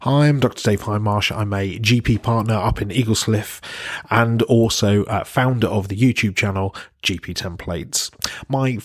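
A man with a fairly low-pitched voice shares a personal recommendation as a guest. He explains things in an informal, conversational way. The recording is dry, with very little room sound. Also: moderate pace, no echo. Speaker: podcast guest, GP partner reviewing books. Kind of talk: spoken personal book recommendation.

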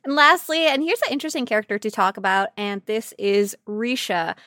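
A woman with a high, bright voice co-hosts a silly gaming podcast. She talks casually and playfully, with an excitable, giggly manner. The sound is close and dry, with no room to it. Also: brisk, dry recording. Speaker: podcast host. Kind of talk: casual comedic podcast chat about a video game.

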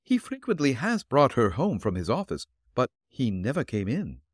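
A man voicing an elderly, refined and gentle landlady in a raised, polite voice. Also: huge pitch swings, normal speed, breathy edge, no echo, clean studio sound. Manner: polite, flustered, high put-on voice. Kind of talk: audiobook character reading.

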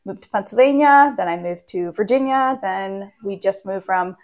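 A woman's voice: medium pitch, lively intonation, normal speed. A young woman from Maine, a real estate agent answering interview questions in a casual, chatty way with lots of fillers.

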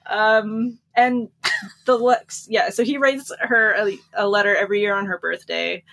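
Female podcast co-host, slightly raspy mid-pitched voice, informal and joking in casual book conversation. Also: steady tone, measured pace, a bit bright, low-quality recording. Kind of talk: casual book discussion chat.

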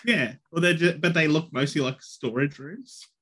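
A man's voice: a little high, moderate pace, sharp tone, breathy edge. A man co-hosting a podcast, chatting casually with short replies. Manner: laughs often, brief replies. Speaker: podcast co-host. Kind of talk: casual chat.

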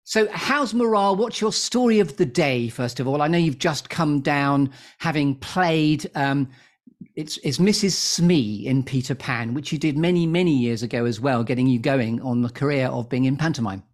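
A man's voice: mid-range voice, moderate pace. A man hosting an interview show in an effusive, playful way full of wordplay. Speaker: podcast host. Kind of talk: interview with a scripted-sounding show intro.

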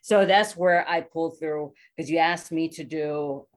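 Low-voiced woman, thinking aloud. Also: fairly even intonation, unhurried. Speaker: voice actor, interview guest. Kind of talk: casual interview.

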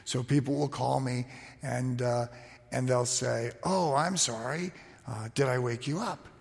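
A man preaching a sermon, telling stories lively with fillers and restarts; his voice turns raspy when he speaks quietly. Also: medium pitch, measured pace, long reverb tail, slight background noise. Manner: animated storytelling with frequent restarts and fillers.

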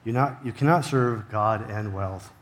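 A man's voice: fairly low pitch, unhurried. A man reading scripture aloud as a volunteer reader.

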